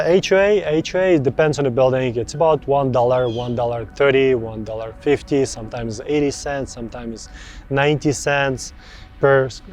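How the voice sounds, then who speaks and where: A man in his thirties from Russia, speaking English with a Russian accent as a real estate broker, answering viewer questions in a relaxed, chatty way and often repeating words for emphasis. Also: mid-range voice. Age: 30s.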